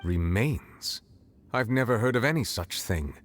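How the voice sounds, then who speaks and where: A man voicing a dignified, reserved ancient dragon statesman in a video game, formal and measured. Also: on the low side, expressive. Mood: guarded. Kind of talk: video game character acting. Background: faint game music.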